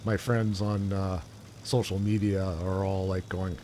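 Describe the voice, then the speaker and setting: A man's voice: sits low, level tone, unhurried, mellow. A man doing casual livestream commentary as he plays, rambling and musing aloud in an informal way. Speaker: game streamer, host. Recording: livestream mic with game noise behind.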